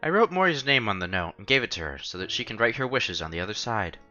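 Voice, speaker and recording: A man's voice: on the low side, very animated, quick pace, pushed and bright, muffled recording, soft background music. A man narrating a visual novel aloud as a streamer, expressively and acting out the dialogue.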